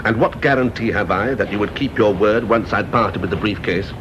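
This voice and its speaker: A man's voice: a little fast, close to an everyday voice. A man playing a stiff, coldly controlled scientist in a radio drama, formal and terse. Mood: tense control. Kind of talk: British radio drama acting. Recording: dull recording with background rumble and noise.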